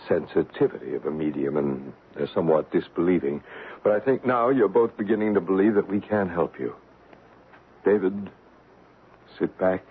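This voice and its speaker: An actor playing a solemn, deliberate male paranormal investigator who narrates and acts in an old radio mystery drama. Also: sits low. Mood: soothing, assured. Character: solemn male paranormal investigator and ghost hunter named Bryce. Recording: noisy, phone-like old radio broadcast recording.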